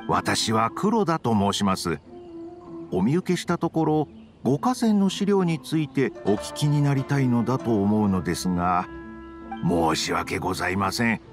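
Man as deferential office editor, game drama, nervous, very polite, animated pitch, faint music behind. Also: normal speed, natural voice. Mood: polite, apologetic. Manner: formal, apologetic, polite. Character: nervous, deferential bespectacled male publishing editor. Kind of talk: anime game voice acting.

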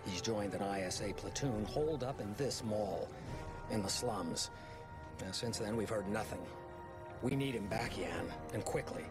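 An actor playing a weary, authoritative older general in a video game cutscene, briefing with hesitant pauses. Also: male voice, on the low side, loud music behind the voice. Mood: grave.

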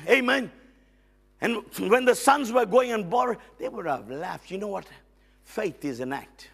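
A middle-aged man preaching a lively sermon as a guest on a church stage, loud and excitable, with frequent amens and hallelujahs. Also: high-pitched, a little slow, vocal fry, husky. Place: church stage with band instruments.

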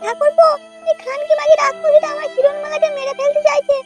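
Casting: actor for foul-mouthed, wailing cartoon housewife, high and quick-talking. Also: level tone, music in the background. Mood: aggrieved. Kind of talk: Bengali adult comedy cartoon dubbing.

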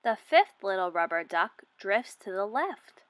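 A woman in her twenties reading a picture book aloud for young children, asking questions and enunciating deliberately. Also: mid-range voice, sing-song, slight background noise. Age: 20s.

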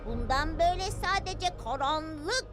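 Tiny cartoon superhero girl, super high voice, sweet but so dramatic, loud music behind. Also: lively intonation, a little slow. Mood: darkly vengeful. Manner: melodramatic, childlike. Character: Bubbles, sweet emotional little superhero girl turned melodramatically dark. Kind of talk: dubbed cartoon acting.

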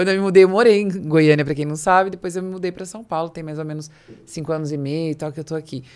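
A woman's voice: low voice, steady tone, racing, a little mellow, clear, resonant tone. A middle-aged Brazilian woman hosting a casual interview, readily jumping in on her guests' thoughts. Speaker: podcast host. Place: small acoustically padded podcast studio. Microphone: close podcast mic on boom arm.

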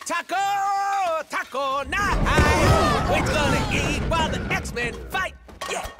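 High-pitched, brash wisecracker. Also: male voice, lively intonation, normal speed, loud music behind the voice. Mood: gleeful. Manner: sarcastic, cocky. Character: brash, sarcastic, wisecracking male troublemaker. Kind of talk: video game cutscene acting.

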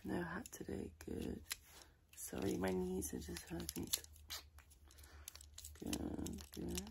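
A woman softly improvising an ASMR personal attention role play as a tailor, chatting in a rambling, chaotic way close to the microphone. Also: fairly low pitch, wildly swooping pitch, slow, vocal fry, noisy background. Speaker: ASMR creator playing a tailor.

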